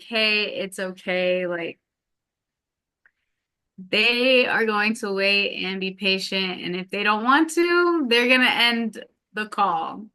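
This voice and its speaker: A woman's voice: mid-range voice, bright, forceful tone, clean tone. A woman who stutters, with sound repetitions and lots of filler ums, talking casually as an interview guest. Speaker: podcast guest.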